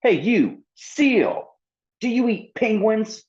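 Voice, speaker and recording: A man's voice: high voice, animated, slow pace, dull audio, pitched above the performer's own voice. A man voicing a demanding, hot-tempered young girl in a picture book read-aloud.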